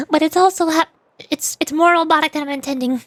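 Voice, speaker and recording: A woman's voice: high-pitched, fairly even intonation, fairly quick, no room sound. A playful, giggly woman chatting casually as she streams a game. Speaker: streamer voice acting game dialogue. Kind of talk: casual livestream chat. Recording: music behind.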